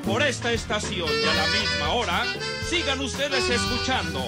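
A man's voice: sits high, animated, moderate pace. A man with a Mexican accent announcing a radio serial in a grand style. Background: loud music.

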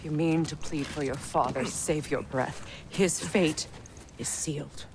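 A woman's voice: deep voice, unhurried, background noise. An actress playing a bitter, vengeful Norse goddess and grieving mother in a video game, cold and commanding. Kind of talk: dramatic video game acting.

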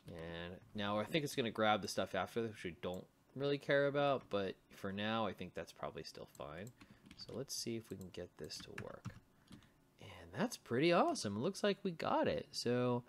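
A man's voice: fairly low pitch, gravelly. A man giving a casual screencast coding walkthrough as a tutorial host, thinking aloud as he types. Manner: thinking aloud while typing. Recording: typing and mouse clicks, faint noise. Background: computer keyboard typing and clicks.

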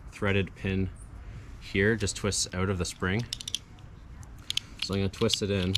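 A young adult man giving a casual, hands-on tutorial, explaining step by step in an informal way as he works. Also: low-pitched. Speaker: DIY car video host. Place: in and beside a parked car outdoors. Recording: clear background noise, vehicle ambience.

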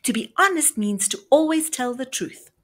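A woman's voice: high voice, lively intonation, moderate pace, voice pushed up. A woman voicing an eager, earnest young schoolgirl answering in class in a children's storybook. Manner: bright, keen, earnest.

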